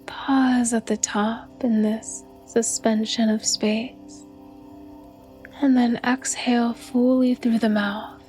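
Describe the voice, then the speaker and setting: Female meditation guide: breathy and soothing, very flat monotone melody, unhurried slow pacing. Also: a little high, faint music behind. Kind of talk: guided yoga nidra meditation narration.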